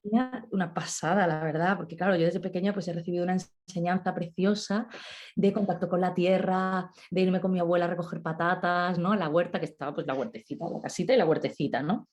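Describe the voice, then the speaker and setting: A woman from Málaga with a low, soft, mellow voice, talking through her memories at an easy pace. She tells her stories in a relaxed way, checking in with her listener often. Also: quick pace. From Málaga, Spain. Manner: warm storyteller, many "¿no?" tags. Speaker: guest, nutrition specialist. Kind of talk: relaxed storytelling interview.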